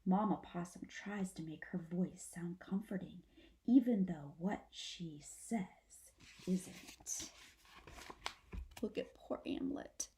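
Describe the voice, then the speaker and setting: A woman's voice: on the low side, slow pace, mellow, a little hiss or hum. A woman teacher reading a children's story aloud, adding simple explanations and speaking directly to her young listeners.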